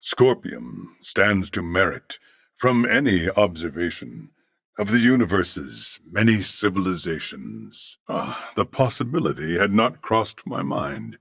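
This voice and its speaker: An actor voicing a calm, courteous cybernetic alien in a video game, with a deep, gravelly voice and formal, measured, precise diction. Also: male voice, no room sound, clean studio sound, full character voice. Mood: polite, reflective. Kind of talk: dramatic video game voice acting.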